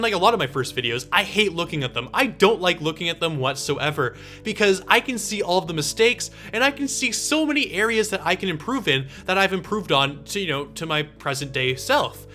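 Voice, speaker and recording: A man's voice: sits high, pushed and bright. An eighteen-year-old man talking casually to viewers as he answers questions, in a chatty, explanatory way.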